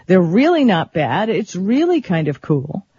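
A woman's voice: medium pitch, big pitch swings, a little slow, warm tone, slightly rough, dry recording, dull audio. A woman co-hosting a casual tech show, laughing often and steering the conversation through the topics.

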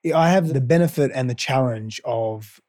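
A man's voice: medium pitch, normal speed, a little mellow, no room sound, clean studio sound. A man coach from Australia whose first language is Greek, talking earnestly and repeating words as he thinks. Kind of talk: casual interview chat.

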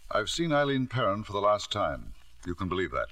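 Bright-voiced, animated husband. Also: male voice, lowish voice, brisk. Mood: defensive, irritated. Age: middle-aged. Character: wealthy, controlling middle-aged knighted husband, smooth and self-justifying. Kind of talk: 1950s radio drama acting with first-person narration. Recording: vintage 1950s radio broadcast.

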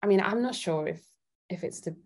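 A woman's voice: on the low side, a little fast. A woman from Italy in a casual interview as a guest, thinking aloud and hesitating as she answers. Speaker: guest singer-songwriter.

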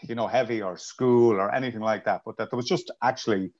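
An Irish man filmmaker talking thoughtfully in an interview, restarting sentences and pausing often. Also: on the low side, steady tone, a little mellow. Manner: thoughtful, with many restarts and "uh" pauses. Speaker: filmmaker, guest. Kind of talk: casual interview chat. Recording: dull lo-fi audio.

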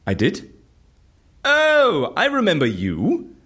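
A woman's voice: on the low side, huge pitch swings, slow pace, fairly bright tone, breathy edge, exaggerated voice. An actress playing a wry, officious woman who runs a secret service, formal and businesslike, in an audio drama. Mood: cool, dismissive.

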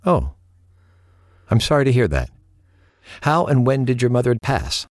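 An actor playing an earnest, courteous host of a late-night paranormal radio show, speaking in a measured, solemn and reassuring way. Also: male voice, medium pitch, big pitch swings, dry recording, studio quality. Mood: attentive, sympathetic. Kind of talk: late-night radio monologue and call-in hosting.

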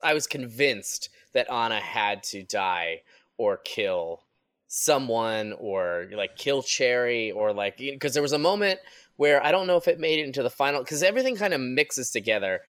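A man's voice: medium pitch, animated, moderate pace, fairly bright tone, no echo, clean studio sound. A man who works as a podcast sound designer, talking informally and ramblingly in a casual group chat.

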